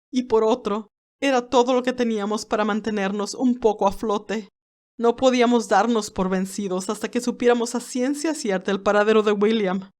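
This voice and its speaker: A woman's voice: a little high, close to an everyday voice. A woman playing a stern, haughty elderly aristocratic great-aunt who tells her story formally and dramatically, with anguish and resentment. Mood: anguished, worried. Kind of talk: first-person dramatized narration.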